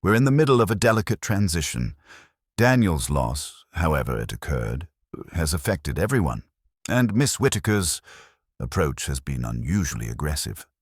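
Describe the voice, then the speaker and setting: A man's voice: very deep voice, big pitch swings, moderate pace, husky, no room sound, clean studio sound, exaggerated voice. A man voicing a cautious, polished executive in his forties in a detective story, speaking in a guarded, managerial way. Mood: measured, guarded. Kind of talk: audiobook detective mystery dialogue.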